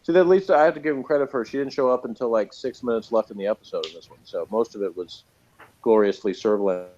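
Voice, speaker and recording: A man's voice: mid-range voice, fast, a little mellow. Rambling, sardonic man on a dull recording. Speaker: review show host. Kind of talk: casual off-the-cuff TV episode review.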